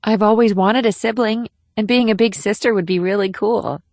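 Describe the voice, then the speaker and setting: A woman's voice: medium pitch, steady tone, moderate pace, darkish tone, no echo, natural voice. A woman voicing a hopeful, eager young girl in audiobook dialogue. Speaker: audiobook performer.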